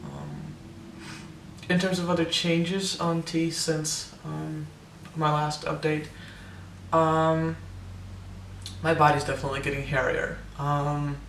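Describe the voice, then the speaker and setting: Young man vlogger, hesitant and casual, flat gravelly delivery with voice cracks, roomy distant recording. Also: a little high, slow pace, a little hiss or hum. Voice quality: voice cracks, air breaking through on some notes. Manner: hesitant, many ums and restarts. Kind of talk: casual personal update to camera.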